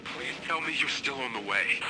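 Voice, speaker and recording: A man's voice: mid-range voice, expressive, moderate pace, over loud music, close to an everyday voice, low-quality recording. An actor playing an anxious, stranded male resistance soldier in a video game.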